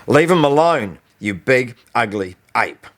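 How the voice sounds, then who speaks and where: A man's voice: mid-range voice, lively intonation, unhurried, pitched above the performer's own voice, lightly acted. A man voicing a cheeky, nervy schoolboy hero in an audiobook, speaking with urgent defiance. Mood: defiant.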